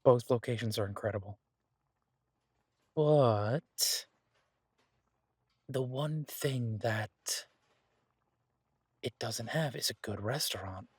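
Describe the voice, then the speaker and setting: Husky, casual boyfriend chef played by a man. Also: mid-range voice, no room sound. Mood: casual, enthusiastic, then explaining. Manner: casual, halting, reassuring. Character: easygoing, earnest boyfriend, a chef in a fantasy world. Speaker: audio roleplay performer. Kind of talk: intimate one-sided audio roleplay, conversational.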